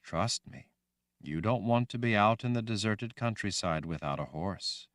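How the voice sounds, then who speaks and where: A man voicing a gentle, soothing motherly woman spirit, speaking softly in an audiobook reading. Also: fairly low pitch, animated, normal speed, no echo, clean studio sound.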